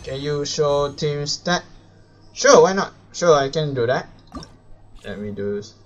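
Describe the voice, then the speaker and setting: Slow-talking man live streaming a game. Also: a little high, animated, lo-fi sound. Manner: hesitant, rambling, self-correcting. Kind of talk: casual live stream commentary.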